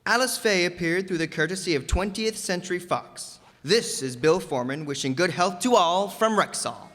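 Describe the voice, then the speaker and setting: A man's voice: sits high, animated, moderate pace, a bit bright. A man announcing cast credits in old-time radio style to an audience in a town hall. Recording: echoey hall, crowd, noisy background.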